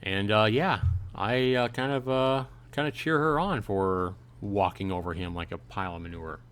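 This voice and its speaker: A man's voice: fairly low pitch, normal speed, fairly bright tone. A man hosting a livestream, reading a visual novel aloud and commenting casually to his viewers. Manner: conversational, chatting with viewers. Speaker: livestream host. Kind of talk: casual game commentary and read-aloud narration.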